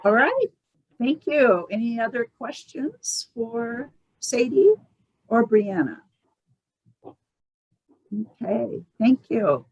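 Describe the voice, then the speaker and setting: Slow-paced woman moderating a meeting. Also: on the high side, animated, a little mellow, breathy edge, dry recording, muffled recording. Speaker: conference call moderator.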